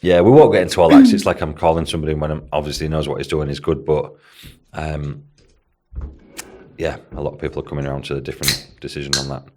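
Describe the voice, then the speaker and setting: A man's voice: a bass voice, fast talker, a little mellow. A man with a Hull accent, co-hosting a podcast about bodybuilding and coaching in a rambling, casual way, swearing now and then and laughing often.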